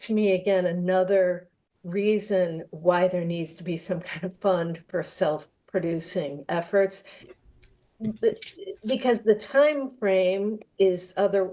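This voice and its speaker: Female panelist, soft-edged dark tone, slightly low, conversational. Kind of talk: panel discussion.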